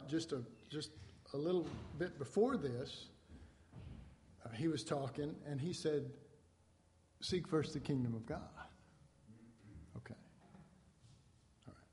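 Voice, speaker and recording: A man delivers a conversational sermon, reading verses aloud and breaking them down. His voice sits a little high but sounds mellow. He plays out the quoted lines with rising emphasis, and a long reverb trails behind him as in a church hall. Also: a little hiss or hum. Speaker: preacher. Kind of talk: sermon teaching on scripture.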